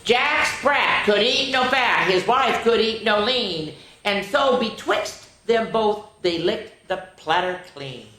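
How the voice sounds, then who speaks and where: Older female children's story host, low-to-mid register for a woman, sing-song reading with chatty asides, roomy echo. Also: lively intonation, takes it slowly, bright, forceful tone. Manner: addresses young listeners directly. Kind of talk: reading nursery rhymes aloud to children. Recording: roomy, echoing room sound.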